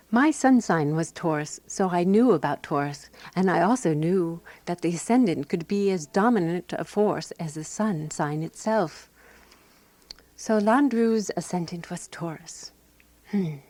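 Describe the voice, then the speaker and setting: A woman playing a fussy, resolute amateur sleuth who narrates her own mystery in a radio drama, prim and measured. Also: lowish voice, dark tone, dry recording.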